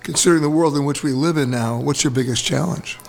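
A man's voice: fairly high pitch, fast talker, vocal fry, darkish tone. A man hosting a radio interview, asking questions with quippy, teasing banter from a beach hotel. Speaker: radio show host.

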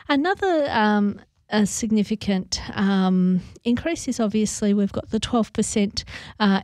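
A woman with an Australian accent hosting community radio, her voice hoarse as it comes back, explaining things chattily with many ums. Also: fairly high pitch, a little mellow, dry recording. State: hoarse, recovering voice. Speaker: radio host. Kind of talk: casual community radio chat.

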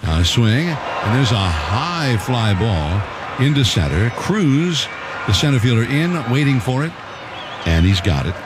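A male play-by-play announcer calling a baseball game in a conversational, measured way. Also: heavy background noise. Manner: conversational, measured, calls pitches with rising excitement. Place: stadium broadcast booth.

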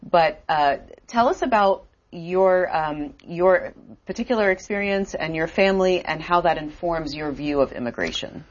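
A woman with a notably low voice hosts the interview, asking her questions haltingly with many fillers. The sound is dull and lo-fi. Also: expressive. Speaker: interview host. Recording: dull lo-fi audio.

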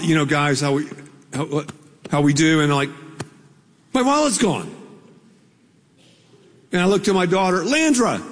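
A man preaching a sermon as pastor, telling a story in a conversational, playful way with asides to the congregation. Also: fairly high pitch. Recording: long reverb of a large hall.

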